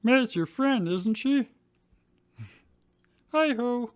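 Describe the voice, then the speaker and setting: A man's voice: very high voice, animated, drawn-out words, voice pushed up, exaggerated voice. A man voicing a sheepish, puzzled young male coordinator in a wobbly, puppet-like comic voice for a fan fiction reading. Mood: curious. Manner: wobbly puppet-like voice that began as a frog impression.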